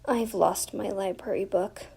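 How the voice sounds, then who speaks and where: A woman's voice: mid-range voice, fairly even intonation, a little slow, gravelly, warm tone, breathy edge, heavily acted. A woman voicing an anxious, tearful young girl from a storybook, whiny and despairing. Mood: tearful resigned.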